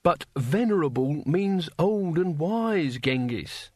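A fussy male schoolmaster played by a man narrating an audiobook, patient and precise. He coaxes and corrects in a raised pitch, recorded very dry. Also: lively intonation, slow, dry recording. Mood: weary reproach. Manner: precise, didactic, coaxing. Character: patient, fussy male schoolmaster civilizing unruly old warriors. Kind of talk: audiobook character acting.

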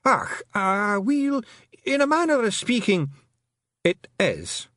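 A man's voice: big pitch swings, slow, a bit bright, no echo, clean studio sound, full character voice. A man voicing a sly, frantic little male pixie chieftain who shrieks and gabbles in a Scottish accent. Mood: evasive. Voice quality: shrill, gabbling.

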